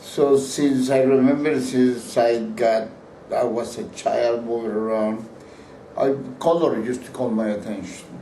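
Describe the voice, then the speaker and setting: Older man, from Peru, Spanish first language, rambling interview answers, warm mellow tone, heard from across the room. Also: medium pitch, fairly even intonation, measured pace. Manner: rambling, with restarts and repeated words. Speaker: painter being interviewed. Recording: roomy, distant mic, faint background hiss.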